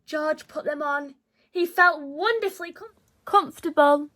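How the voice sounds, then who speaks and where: A woman reading a children's picture book aloud in an expressive, teacherly way. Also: high-pitched, unhurried. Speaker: children's story reader.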